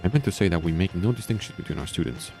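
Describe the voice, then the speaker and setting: Man playing stuffy, low-voiced old principal. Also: lively intonation, very fast, gravelly, dark tone. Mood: stern. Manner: formal, lecturing. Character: stern, stuffy old male school principal. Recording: background music.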